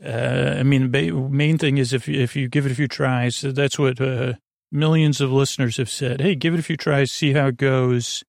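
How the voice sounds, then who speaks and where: Creaky, meandering male bedtime podcast host. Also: medium pitch, steady tone, no room sound, studio quality. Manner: meandering, halting, repeats and trails off. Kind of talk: rambling bedtime podcast monologue.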